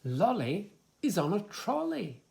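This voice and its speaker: A bossy, resourceful storybook frog, acted out by a male reader, critical and emphatic. The pitch leaps about in a very lively way over a creaky voice. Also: slow pace, heavily acted. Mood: proud.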